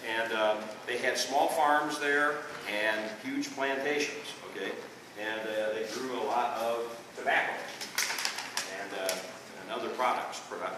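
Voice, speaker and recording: A man's voice: mid-range voice, distant mic, background noise. Folksy Midwestern man in echoey room. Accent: Midwestern American. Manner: folksy, checks in with "okay?" often. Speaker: re-enactor and teacher. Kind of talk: classroom history presentation. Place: school room.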